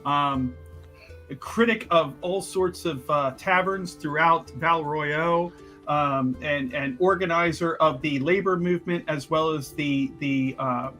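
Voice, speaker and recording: A man with a somewhat high voice talks as a player in a tabletop game. He rambles casually, pausing to hunt for the next word. Faint music plays behind him. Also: pushed and bright. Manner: rambling, hesitant, searching for words. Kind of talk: casual unscripted character introduction.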